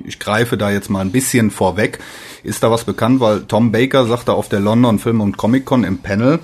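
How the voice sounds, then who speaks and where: Slightly low-voiced man, halting delivery. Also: slightly rough. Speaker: podcast guest. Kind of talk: casual fan discussion.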